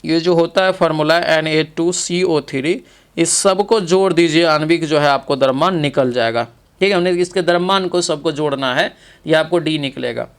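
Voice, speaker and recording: A man's voice: fairly high pitch, normal speed, clean tone. A man teaching an exam practice lesson, reading each question aloud and then confirming the answer.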